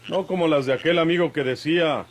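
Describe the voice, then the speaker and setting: An actor playing a fair-minded young cowboy outlaw in an old radio drama, measured and emphatic, with a rural Mexican accent. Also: male voice, on the high side, natural voice. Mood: resolute. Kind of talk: Mexican golden-age radio drama acting.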